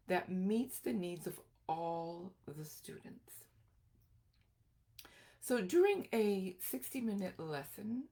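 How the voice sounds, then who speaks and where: Slow-paced, creaky-voiced middle-aged woman teaching. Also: lowish voice, darkish tone. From Costa Rica. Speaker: group piano teacher presenting a lesson. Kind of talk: informal instructional talk.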